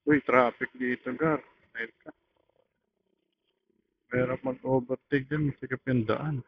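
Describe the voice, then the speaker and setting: Young Filipino man, mid-pitched voice, casual motovlog chat, slangy rambling, muffled intercom mic, faint road noise. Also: fairly even intonation, a little slow. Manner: rambling, slangy, thinking aloud. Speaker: motorcycle vlogger. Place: outdoors on a motorcycle in traffic. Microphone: helmet intercom microphone.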